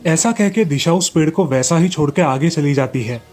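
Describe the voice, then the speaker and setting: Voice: medium pitch, moderate pace, a little mellow, soft background music. A narrator reading a scripted children's story in a storybook manner.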